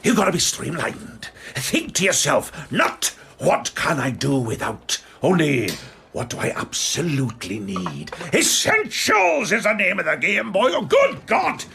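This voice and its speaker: A man's voice: fairly high pitch, wildly swooping pitch, unhurried, vocal fry, pushed and bright, slight background noise, full character voice. A man playing a rustic, bossy quest leader with a British accent and a blunt, scolding manner in a comic audio drama. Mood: exasperated scolding.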